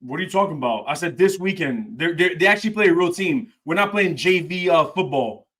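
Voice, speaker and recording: Animated man on a casual podcast. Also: a little high, a little fast. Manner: animated, says "bro" a lot. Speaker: podcast co-host. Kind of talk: casual sports and debate chat.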